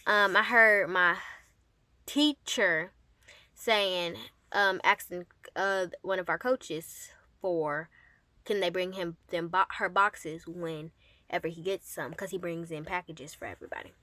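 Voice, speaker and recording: A woman telling a personal story casually to her audience, with an animated, emphatic and sassy manner. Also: on the low side, slow pace, no echo. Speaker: storyteller and host addressing an audience.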